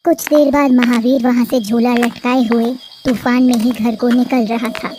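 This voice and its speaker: A woman narrating a cartoon story in an even storytelling manner. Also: fairly high pitch, moderate pace, heavy background noise.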